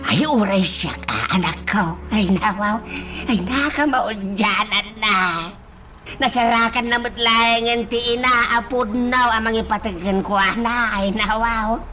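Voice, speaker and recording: A woman playing an emotional mother in a radio drama, who sighs and exclaims often and speaks slowly over faint music. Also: fairly high pitch, bright, forceful tone. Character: older mother fretting over her son. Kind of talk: amateur radio drama acting.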